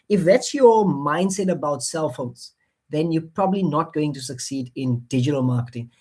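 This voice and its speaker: A man's voice: on the high side, darkish tone. Coaxing man with an Afrikaans accent. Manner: repetitive, coaxing, many fillers and restarts. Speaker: online marketing presenter. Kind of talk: motivational sales pitch on a live stream.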